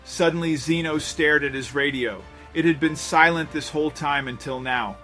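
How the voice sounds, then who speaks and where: Male narrator, lighter register, for suspenseful scripted storytelling; measured delivery over a soft music bed. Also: on the high side. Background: background music.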